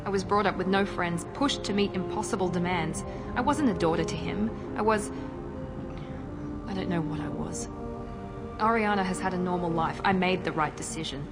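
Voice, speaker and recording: An actress playing a cool, guarded female operative in a video game, speaking in a crisp, controlled way. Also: on the low side, normal speed, lightly acted. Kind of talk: video game cutscene dialogue. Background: game score music.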